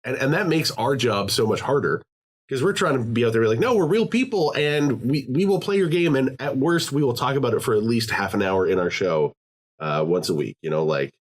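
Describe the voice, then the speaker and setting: A man's voice: medium pitch, animated, a little fast. A man co-hosting a podcast in casual chat, rambling with lots of fillers and restarts. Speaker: podcast co-host.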